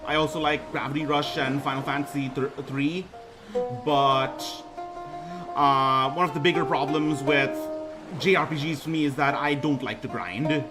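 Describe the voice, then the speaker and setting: A young man with a baritone voice introducing himself on his debut stream, talking haltingly with lots of ums and restarts. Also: fairly even intonation. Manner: hesitant, many ums and restarts. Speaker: VTuber streamer. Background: music playing.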